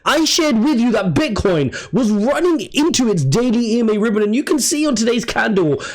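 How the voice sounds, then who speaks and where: Croaky-voiced man talking markets. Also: very high voice, big pitch swings. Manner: brisk, emphatic chart walkthrough. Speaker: crypto market analyst. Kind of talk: market commentary to camera.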